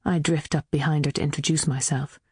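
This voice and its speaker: An Irish woman reading scripted fiction as an audiobook narrator, with an expressive storytelling delivery. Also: low-pitched, a little fast, slightly rough, no echo, clean studio sound.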